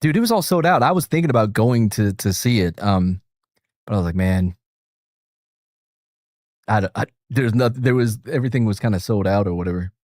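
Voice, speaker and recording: A man's voice: lowish voice, lively intonation, a little fast, long pauses, darkish tone, dry recording, clean studio sound. A man hosting a casual group chat, joking and laughing often as he talks. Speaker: host.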